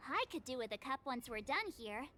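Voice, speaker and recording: A woman playing an eager, outspoken young adventurer girl in a video game, with an excitable, direct manner. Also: high voice, a little fast, a little hiss or hum, heavily acted. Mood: chatty. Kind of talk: scripted game voice acting.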